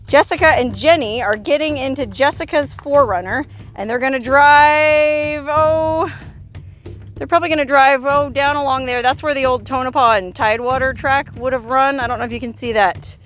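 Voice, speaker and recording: High-voiced woman chatting in an outdoor vlog. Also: fairly bright tone. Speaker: vlog host. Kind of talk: casual outdoor vlog talk to camera. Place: outdoors on a desert hilltop.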